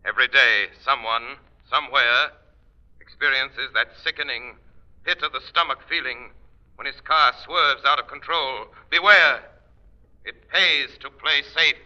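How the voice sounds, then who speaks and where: An actor playing an ominous mystery avenger, giving grave, foreboding warnings in old radio style. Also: male voice, medium pitch, unhurried, lightly acted. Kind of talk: 1940s radio commercial acting. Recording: dull old radio recording.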